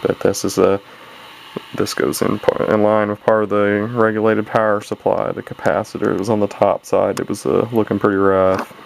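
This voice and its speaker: A man's voice: lowish voice, little pitch movement, moderate pace, vocal fry. A man casually narrating his own hands-on radio repair video in a rambling, folksy way, often correcting himself as he goes. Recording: noisy handheld camera home video.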